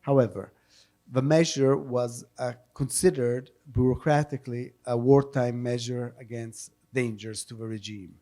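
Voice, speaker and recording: Man, mid pitch, panel moderator, spontaneous careful explanations, frequent self-corrections, live event. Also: steady tone, measured pace, mellow. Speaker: moderator and interpreter at a public panel. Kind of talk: spontaneous panel answers and spoken translation. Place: live public event with audience questions.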